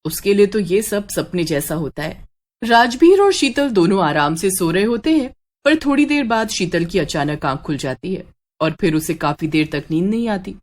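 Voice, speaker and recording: A woman's voice: mid-range voice, animated, moderate pace. A woman storyteller reading a scripted horror story.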